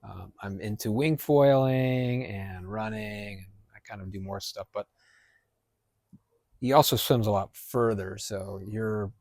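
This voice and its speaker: A man's voice: fairly low pitch, measured pace, a little mellow, no echo, clean studio sound. A man hosting a casual outdoor interview under an eave in the rain, chatty and hesitant, with lots of fillers and restarts. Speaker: host and app maker.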